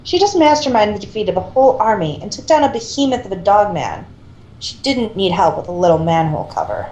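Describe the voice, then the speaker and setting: Mellow woman reading in an echoey room. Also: mid-range voice, sing-song, fast talker. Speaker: co-reader in a group reading. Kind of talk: amateur fan fiction narration and casual chat. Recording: roomy echo, faint background noise.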